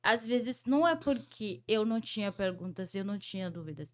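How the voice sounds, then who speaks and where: A woman's voice: mid-range voice, expressive, fairly quick. A woman whose first language is French, hosting a podcast interview and talking in a hesitant way, with many fillers and restarts. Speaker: podcast host. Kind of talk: conversational interview.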